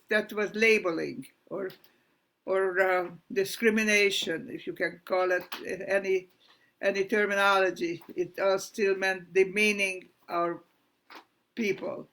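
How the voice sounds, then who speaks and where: A woman, giving her personal testimony as a survivor in a slow, halting, earnest way, pausing often and sometimes restarting her sentences. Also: medium pitch, fairly bright tone. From Hungary. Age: 90s. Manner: loses her place and restarts. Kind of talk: personal testimony on a live stream.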